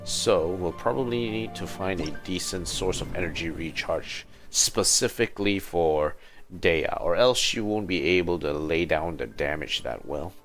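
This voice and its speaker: A man with a low, creaky voice talks through his game on a livestream. His delivery is slow and casual, half muttered to himself, with faint music behind him. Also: a bit bright. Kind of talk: casual gaming livestream commentary.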